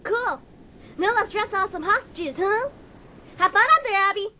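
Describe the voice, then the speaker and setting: Woman playing a high-voiced flippant adventurer. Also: lively intonation, normal speed, pushed and bright, a little hiss or hum, exaggerated voice. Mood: playful. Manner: playful, flippant. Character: breezy, teasing young female adventurer. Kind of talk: audio drama acting.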